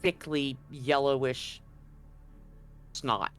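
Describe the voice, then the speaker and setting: A man narrating a tabletop roleplaying game as game master, casually and somewhat haltingly, feeling for his words. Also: mid-range voice, fairly even intonation, fairly bright tone, faint music behind.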